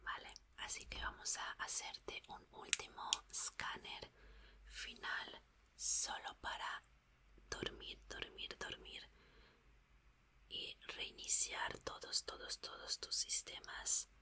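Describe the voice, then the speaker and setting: A woman doing an ASMR roleplay as a gentle, reassuring scientist, whispering soothingly and softly repeating her words. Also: takes it slowly. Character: gentle, reassuring female scientist repairing an android.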